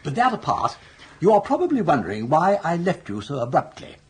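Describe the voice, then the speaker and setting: A breezy, roguish older gentleman with an upper-class English accent. His voice is very husky and rather high for a man, swooping widely as he spins ornate yarns in a radio comedy. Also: huge pitch swings, brisk, exaggerated voice. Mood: scheming, jaunty. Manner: jaunty, ornate, raconteur-like phrasing. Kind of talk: radio comedy drama acting.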